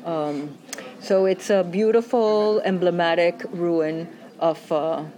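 A woman's voice: lowish voice, slow, warm tone. A woman cultural historian from Venezuela giving a spoken explanation as an interviewee.

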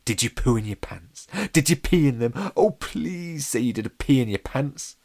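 A man voicing a mischievous, boastful, centuries-old poltergeist father in a storybook, excitable and roughly spoken. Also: on the low side, normal speed, natural voice. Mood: gleeful, gloating. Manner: gloating, excitable, rough ungrammatical speech. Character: mischievous boastful 950-year-old male poltergeist dad.

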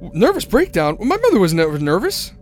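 A man's voice: high voice, huge pitch swings, fairly quick, soft background music. An actor playing a frightened, haunted man on a road trip who stammers anxiously as he tells his story in a radio drama. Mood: shaken, distraught. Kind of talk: radio drama first-person narration and acting. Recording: noisy radio drama recording, vehicle ambience.

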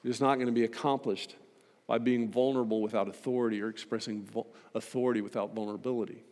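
A man delivering a sermon to a congregation as a preacher, emphatic and rhetorical, building points through repetition and pointed pauses. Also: medium pitch, fairly even intonation, a little mellow. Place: large reverberant hall. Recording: long hall reverb.

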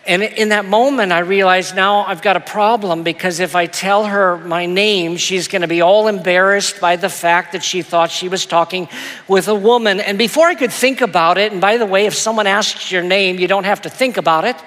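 Soft-voiced man with a high, soothing tone. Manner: gentle, self-deprecating, halting with repeats. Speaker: pastor. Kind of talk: sermon storytelling. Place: church auditorium. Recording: long hall reverb.

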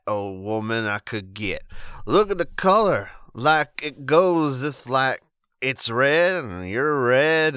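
A man voicing a drunk, pompous old church elder who talks slowly with slurred grand dignity. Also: medium pitch, fairly bright tone, no echo, studio quality, pitched above the performer's own voice.

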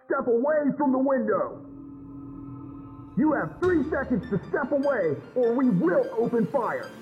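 A stern mercenary in an audio drama, barking threats in a very high-pitched male voice. The sound is dull and band-limited. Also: very animated, unhurried, faint music behind, full character voice. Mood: threatening. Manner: commanding, threatening. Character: stern male mercenary shouting orders from outside.

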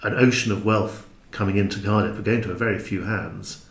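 A man's voice: lowish voice, steady tone, fairly quick. A man in a thoughtful interview conversation, explaining things reflectively. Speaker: podcast guest, drama maker. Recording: roomy, echoing indoor sound.

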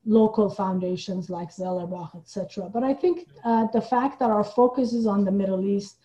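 Dull-sounding, mellow-voiced woman, thoughtful interview guest. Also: medium pitch, normal speed. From Iran. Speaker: podcast guest, playwright and theater director. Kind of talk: reflective interview conversation.

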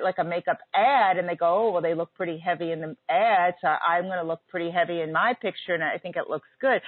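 A woman's voice: fairly low pitch, no room sound. A woman in her forties hosting a casual chat, talking emphatically and chattily. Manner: chatty, emphatic, laughs often. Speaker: host. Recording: tinny phone-like line.

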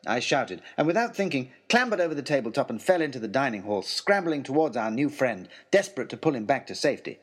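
A man's voice: medium pitch, big pitch swings, fast, hardly any pauses. A man narrating an adventure story in the first person with dramatic, theatrical expression. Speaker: audiobook narrator.

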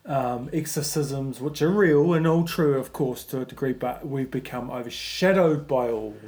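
A man's voice: mid-range voice, moderate pace, darkish tone. A man hosting a casual faith discussion, thinking aloud and rambling through his points with plenty of fillers. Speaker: podcast host.

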